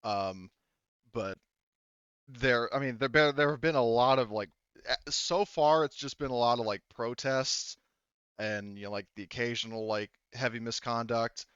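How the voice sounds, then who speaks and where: A man working as game master, explaining a tabletop game casually and haltingly. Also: medium pitch, fairly bright tone, smooth, dry recording, lo-fi sound.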